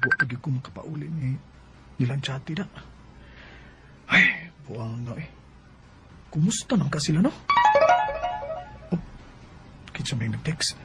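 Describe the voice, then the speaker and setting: A man acting in a Bisaya radio drama as a smooth-talking, evasive young delivery driver with a Cebuano Filipino accent, given to exaggerated reactions and muttered asides. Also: on the high side, lively intonation, unhurried, long pauses, over loud music. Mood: flustered, evasive. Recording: noisy radio drama recording.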